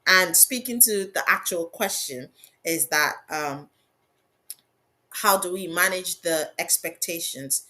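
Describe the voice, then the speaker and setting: A woman's voice: lowish voice, slow, bright, forceful tone. Emphatic woman panelist with a strong voice. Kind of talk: panel discussion remarks.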